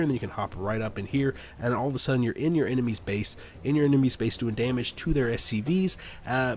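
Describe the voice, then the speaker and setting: A man's voice: mid-range voice, a little mellow, slight background noise. A man with a stuffy, nasal voice from the flu, casually commentating a strategy game replay and rambling with frequent fillers. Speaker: game caster.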